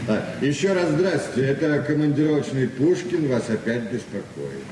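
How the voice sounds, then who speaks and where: A man's voice: mid-range voice, animated, normal speed, close to an everyday voice. An actor in a radio play as a modest, polite man away on a work trip, plain-spoken and understated. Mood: put out. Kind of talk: naturalistic Soviet radio drama acting. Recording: echoey, distant, noisy 1970s radio recording.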